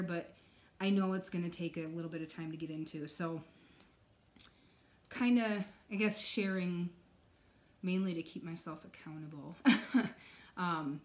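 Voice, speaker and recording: A woman's voice: fairly low pitch, measured pace. Chatty woman with a recovering voice. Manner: chatty, many ums, soft nervous laughs. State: recovering voice, slight cough. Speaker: stitching vlogger. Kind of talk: casual chat to camera. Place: living room couch.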